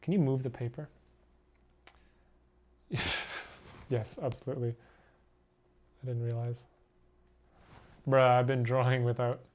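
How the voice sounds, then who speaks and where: This is a young man from Korea talking easily to his stream audience as he sketches. His voice sits at an ordinary middle pitch, and his chatter is loose and self-deprecating, with frequent laughs. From born in Korea, raised abroad. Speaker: artist live-streaming. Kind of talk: casual live-stream chat reading comments.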